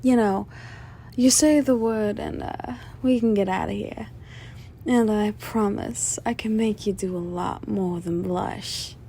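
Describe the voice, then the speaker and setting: A woman playing a flirtatious, menacing female mob boss in an ASMR roleplay, sly and self-assured, with a soft, teasing, sultry delivery. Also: medium pitch, steady tone, vocal fry, a little hiss or hum. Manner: teasing, sultry, drawn-out delivery with veiled threats. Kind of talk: scripted ASMR roleplay monologue addressed to listener.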